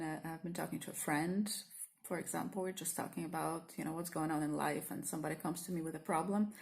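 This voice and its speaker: A woman with a Polish accent shares spontaneous reflections with her viewers, pausing often on fillers and starting over. Her voice sits low and gravelly, and her pitch stays flat and even throughout. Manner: hesitant, many fillers and restarts, earnest. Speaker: spiritual teacher and vlogger. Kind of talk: spontaneous reflective monologue to camera.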